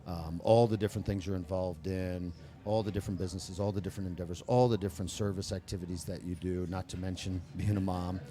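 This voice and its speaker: A man with a fairly low voice hosts a relaxed podcast chat in a restaurant. He talks in a lively, joking way, teasing his guest and keeping the conversation moving. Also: quick pace, few pauses. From New York. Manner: chatty, joking, lively interviewer. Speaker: podcast host. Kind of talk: casual interview chat.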